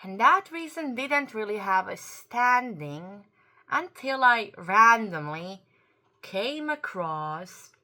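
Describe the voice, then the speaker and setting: Slow, bright-voiced woman, a native Croatian speaker. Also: medium pitch, sing-song. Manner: earnest, searching for words, self-correcting. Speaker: YouTube commentator. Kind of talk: opinion commentary to camera.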